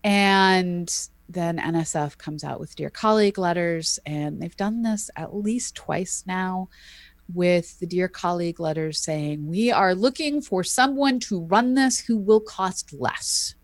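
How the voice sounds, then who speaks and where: A woman co-hosting an astronomy podcast, explaining at length in a measured, thoughtful way with frequent ums. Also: fairly low pitch, no echo. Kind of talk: casual explanatory chat.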